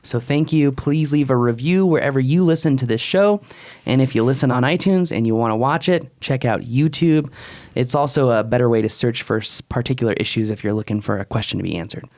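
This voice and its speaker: A man in his thirties with a mid-pitched voice co-hosts a podcast. He talks informally and bluntly, like an expert chatting across a table, close to a studio mic. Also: a little fast, darkish tone. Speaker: podcast co-host and drone expert. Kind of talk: casual podcast talk. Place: small wood-panelled podcast room. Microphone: close studio mic on boom arm.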